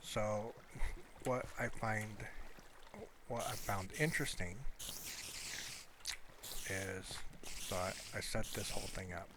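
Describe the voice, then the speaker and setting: Low-voiced man in casual gameplay commentary. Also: slow pace, long pauses, background noise. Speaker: gamer showing a Minecraft build.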